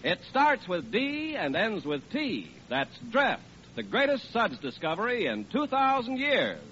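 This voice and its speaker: A male announcer in formal 1940s radio style, high and very animated, delivering his script with emphasis. The recording is old and muffled, with music playing behind. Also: measured pace, noisy background.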